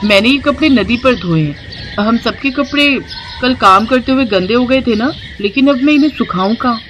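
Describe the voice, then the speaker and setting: Woman voicing an expressive young village daughter-in-law, background noise. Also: on the high side, normal speed, low-quality recording. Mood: fretting, practical. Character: poor, resourceful young village daughters-in-law, eager and complaining. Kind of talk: animated moral story character dialogue.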